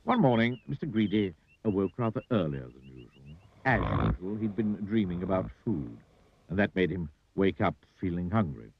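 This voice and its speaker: Male narrator for animated children's tale. Theatrical, extremely animated delivery, slightly dull older-sounding audio. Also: low-pitched, husky. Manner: theatrical, drawn-out emphasis on words. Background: music.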